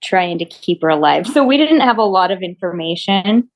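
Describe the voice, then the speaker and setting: Steady middle-aged mother recounting events. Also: on the low side, moderate pace. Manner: steady, fills in details gently. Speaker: interview guest, mother. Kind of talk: casual storytelling.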